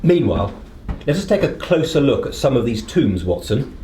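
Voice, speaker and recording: An echoing room surrounds a man acting a shrewd British detective. He reasons aloud with theatrical authority, rising and falling freely in pitch. The delivery is deliberate and commanding, as in a radio play. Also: sing-song, mellow, a little hiss or hum, lightly acted. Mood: brisk, assured.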